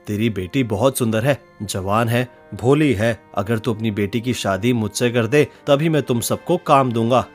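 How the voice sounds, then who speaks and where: A man playing a greedy, arrogant village blacksmith who boasts and taunts in an animated moral story. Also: mid-range voice, unhurried, hardly any pauses, soft background music, lightly acted. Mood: smug, taunting.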